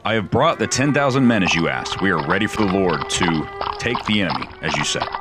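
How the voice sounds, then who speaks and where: A man's voice: deep voice, animated, brisk, music in the background. A man playing a loyal, devout army commander in a biblical radio drama. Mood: resolute.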